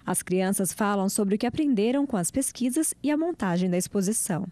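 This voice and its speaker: A woman TV reporter narrates a news feature in a measured, clear voice. Her tone is warm and mellow. Soft music plays faintly beneath her. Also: mid-range voice, lively intonation.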